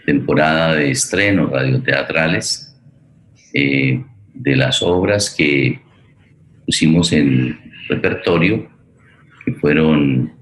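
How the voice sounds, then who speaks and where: Male host, radio theatre forum: very low pitch, deliberate and slow, roomy sound. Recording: echoey room.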